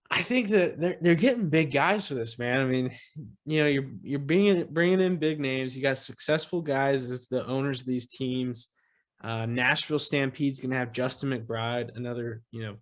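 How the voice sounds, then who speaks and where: A man hosting a casual sports podcast, talking in a relaxed, rambling way with lots of fillers. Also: mid-range voice. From Texas. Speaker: podcast host.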